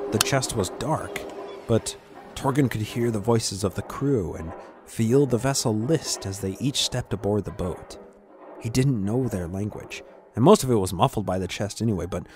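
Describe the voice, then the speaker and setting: A man's voice: mid-range voice, darkish tone. A man reading a scripted fantasy adventure as a dramatic narrator. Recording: clear background ambient noise.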